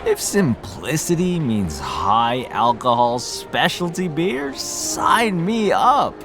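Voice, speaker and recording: A man's voice: on the high side, huge pitch swings, slow, music in the background, exaggerated voice. A man voicing a boozy, irreverent gossip in a video game, with a sardonic, theatrical drawl. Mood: boozy, cheeky. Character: boozy, irreverent man who gossips about local history. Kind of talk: video game character dialogue.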